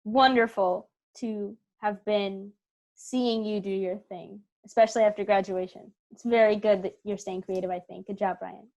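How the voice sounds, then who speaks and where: A woman's voice: mid-range voice, fairly even intonation, moderate pace, a little mellow. A woman speaking conversationally as a theatre performer being interviewed. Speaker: actor and theatre company member, interview guest.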